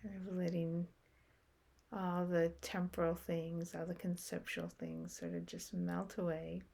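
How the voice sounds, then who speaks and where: A woman guiding a meditation in a soft, unhurried way, with long pauses between short phrases. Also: fairly low pitch, expressive, vocal fry, husky. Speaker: meditation guide. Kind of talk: guided meditation.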